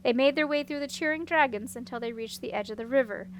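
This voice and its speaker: A woman's voice: high-pitched, fast talker, a little hiss or hum. A woman reading a storybook aloud as scripted audiobook narration. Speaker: audiobook reader.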